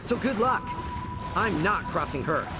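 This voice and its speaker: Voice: mid-range voice, animated, a little slow, close to an everyday voice. An actor playing a cheerful, laid-back young male athlete who is helpful and carefree, in a video game cutscene. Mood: casual. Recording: loud music behind the voice.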